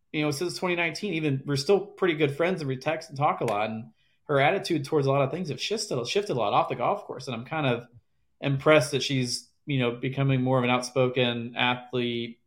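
A man speaking as a podcast guest, his voice sitting at an ordinary middle pitch. He talks in a rambling, halting way, circling back and restarting his sentences. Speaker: former caddie, guest. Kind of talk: casual interview chat.

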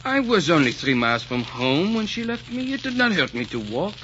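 A muffled old radio recording with light hiss carries a fat, loyal manservant. He is droll and deferential yet cheeky, with very lively intonation, in the manner of 1940s radio drama. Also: a little high, brisk. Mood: meek, reproachful. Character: fat, loyal, meddling servant of a sheik. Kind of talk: 1940s radio melodrama acting.

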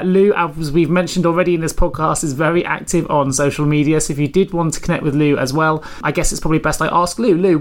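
A man hosting a podcast interview, chatty and friendly, his voice a little croaky from a cold. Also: a little high. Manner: friendly, chatty, filler-heavy. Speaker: podcast host.

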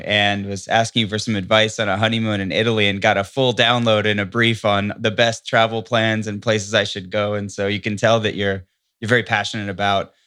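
Bright, dry-sounding male podcast host. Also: lowish voice, dry recording. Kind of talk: podcast interview.

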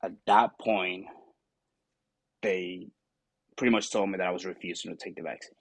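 Venezuelan man, guest, very deep and gravelly, halting answers, dry close sound. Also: steady tone, dry recording. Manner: hesitant, many fillers and restarts. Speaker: guest, army sergeant. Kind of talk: interview answers.

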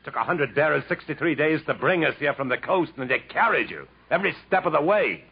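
A man's voice: a little high, lively intonation, brisk, creaky, breathy edge, exaggerated voice. An actor playing a bitter, brooding, self-loathing man exiled in the jungle, in theatrical 1950s radio drama style. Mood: angry, scornful. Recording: dull, lightly noisy 1950s radio recording.

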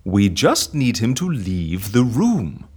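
An actor playing an excitable, scheming man in a comedy audio drama, with a theatrical, musing and breezily unconcerned delivery. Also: on the low side, takes it slowly, breathy edge, full character voice. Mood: excited, commanding. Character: excitable scheming man running a death-faking agency.

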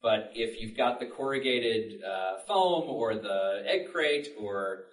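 A man's voice: mid-range voice, takes it slowly. A man on a panel explaining things informally in plain words. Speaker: panelist, audio drama maker. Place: public library panel room. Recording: echoey room, distant mic.

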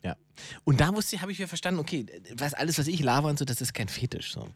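A man's voice: on the high side, animated, quick pace, husky, no echo. A man talking casually as a podcast co-host, explaining his views at length in blunt, colloquial language. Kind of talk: casual conversational discussion.

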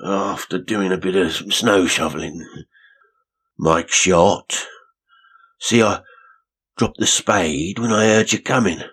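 A man reading aloud, voicing a shabby, nervous little male caretaker with a husky voice and rough grammar. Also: sits low, slow pace, pauses often, fairly bright tone, full character voice. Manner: nervous, working-class grammar.

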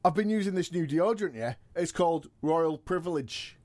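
An actor playing a cocky, food-loving, washed-up wrestling champion in an audio comedy, boastful and evasive with deadpan jokes. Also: male voice, fairly high pitch, normal speed, no echo, close to an everyday voice. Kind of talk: comedy audio drama dialogue.

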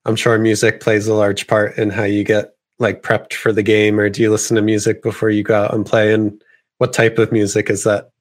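A man hosts an interview and asks long, casual questions that are full of fillers. His voice sits slightly low, and his tone stays relaxed and conversational. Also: a little fast.

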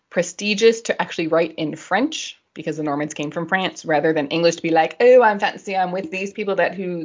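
A woman's voice: low-pitched, lo-fi sound. A woman podcast host chatting casually as a nerdy, giggly explainer who wanders off on digressions.

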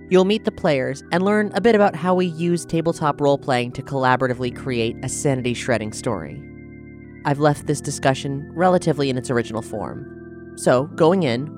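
A man, the show's runner, narrating a scripted introduction in a reflective, wry way. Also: mid-range voice. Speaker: showrunner. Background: music score.

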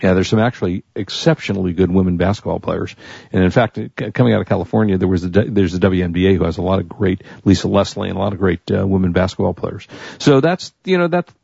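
American guy hosting radio, low creaky voice, rambly and halting, kinda dull lo-fi sound. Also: a little mellow, no room sound. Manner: halting, wordy, repeats himself. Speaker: radio show host. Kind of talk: casual co-hosted radio chat.

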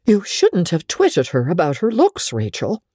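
Lady doing a stern but fair guardian woman, slow n firm, restrained, storybook reading. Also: fairly low pitch, wildly swooping pitch, dark tone, slightly rough, no room sound, studio quality, exaggerated voice. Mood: measured reproach. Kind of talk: dramatic audiobook character acting.

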